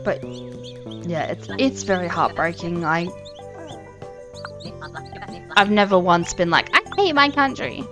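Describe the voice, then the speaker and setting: A woman's voice: fairly low pitch, expressive, moderate pace, loud music behind the voice. An Australian woman in her thirties, chatting casually and earnestly over her gameplay, often trailing off mid-sentence. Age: 30s. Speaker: gaming channel host.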